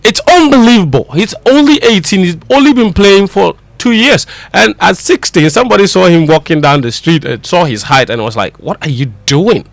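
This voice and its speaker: Young guy on morning radio doing sports news, really lively up-and-down voice, bit high, dry close mic. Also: normal speed, gravelly, hardly any pauses, no room sound. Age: young adult. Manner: excitable, teasing, animated. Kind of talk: radio news reading and studio banter. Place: radio studio.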